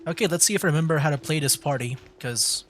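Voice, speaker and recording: A man streaming a video game, commenting casually and thinking out loud as he plays. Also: fairly high pitch, fast talker. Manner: chatty, thinking aloud. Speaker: gaming streamer.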